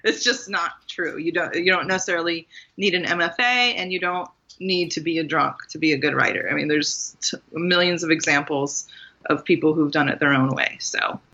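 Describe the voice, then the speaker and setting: A woman's voice: sits low, steady tone, fairly quick, pushed and bright, slightly rough, highs cut off. A woman from Maryland, a guest author, talking casually in an interview with frequent fillers and laughs. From Maryland, USA. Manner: chatty, laughs often, many fillers.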